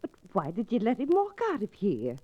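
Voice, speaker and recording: A woman's voice: medium pitch, huge pitch swings, a little fast, dull audio. A woman playing an earnest, spirited frontier storekeeper in an old-time radio Western, with emotional delivery. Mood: anxious, earnest.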